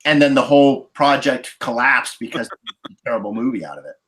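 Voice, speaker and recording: A man in a casual podcast roundtable, talking in a rambling, halting way and repeating words as he forms his thoughts. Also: mid-range voice, a bit bright, low-quality recording. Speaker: podcast panelist.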